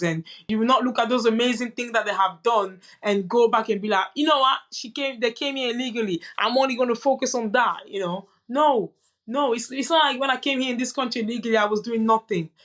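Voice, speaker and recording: A young adult woman whose first language is French, telling her story as a podcast guest in an earnest, halting way with frequent restarts. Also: fairly high pitch, a bit bright. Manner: earnest, many restarts and "you know" asides. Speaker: guest, boxer. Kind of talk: candid conversational storytelling.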